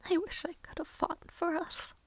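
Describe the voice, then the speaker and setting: A woman playing a sorrowful, lovelorn young maid in an audio roleplay monologue, soft and breathy, often whispering, with hesitant pauses. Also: very high-pitched, little pitch movement, no echo. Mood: wistful, longing. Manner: hesitant, slow, emotional pauses.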